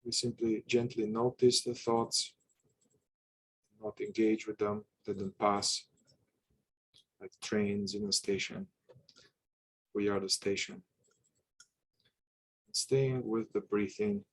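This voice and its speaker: A man guiding a meditation with calm instruction, speaking in unhurried, halting short phrases with long pauses. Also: on the low side, breathy edge, low-quality recording. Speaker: meditation guide.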